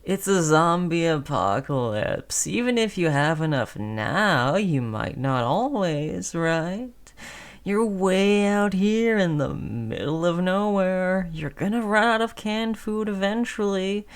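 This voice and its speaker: A woman's voice: fairly low pitch, lively intonation, takes it slowly, clean tone. A woman playing a sly, chummy female zombie in an audio roleplay, coaxing in a falsely friendly, hesitant conversational way.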